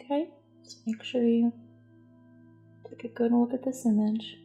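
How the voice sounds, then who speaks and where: A soft, breathy woman gently giving calm, reassuring instructions in an ASMR roleplay, often dropping to a whisper. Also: on the high side, measured pace, soft background music. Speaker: ASMR roleplayer as a test examiner. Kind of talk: soft-spoken ASMR personal attention roleplay.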